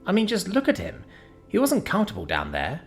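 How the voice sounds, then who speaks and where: A man's voice: high voice, wildly swooping pitch, fairly quick, faint music behind, voice pushed up. A man voicing a brash young woman who teases her friend and talks bluntly. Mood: teasing. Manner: chatty, mocking, blunt.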